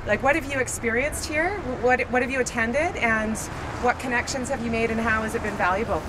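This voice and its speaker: A woman's voice: on the high side, fairly quick. A woman interviewing in a casual, chatty way. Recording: off-mic, faint street noise.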